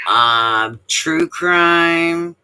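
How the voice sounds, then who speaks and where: Slow, deep-voiced woman chatting. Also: pushed and bright, clear, resonant tone. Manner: rambling, many fillers and restarts. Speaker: live stream guest. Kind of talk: casual chat.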